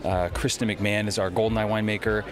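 A man in his forties, a winery executive, answers questions outdoors on a lawn. His voice sits low and he halts often with fillers. Music plays in the background. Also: flat delivery. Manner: halting, many filler sounds. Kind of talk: on-camera interview.

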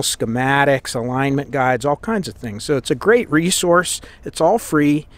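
A man's voice: a little high, no echo. American man explaining at a workbench. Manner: explanatory, conversational. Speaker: host of a radio repair channel. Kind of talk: casual hands-on demonstration. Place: indoor electronics workbench.

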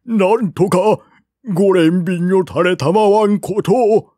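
A man's voice: sits high, slow, warm tone, no room sound, clean studio sound, pitched above the performer's own voice. A man voicing a timid old retainer in a storybook, pleading with his lord in formal, humble, earnest speech.

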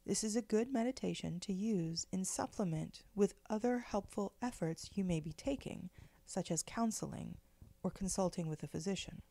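A woman leading a guided meditation in a gentle, measured, soothing way, with pauses between phrases. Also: medium pitch, gravelly. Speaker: guided meditation leader, spiritual director.

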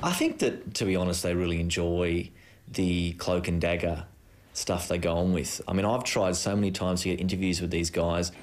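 A man's voice: low voice, fairly even intonation, normal speed. A man, a journalist, giving casual interview answers with some fillers.

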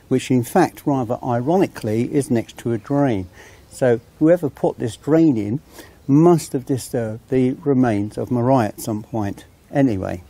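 A middle-aged Englishman presenting a documentary to camera in an outdoor country churchyard, telling the story in a measured, solemn way. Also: medium pitch, mellow.

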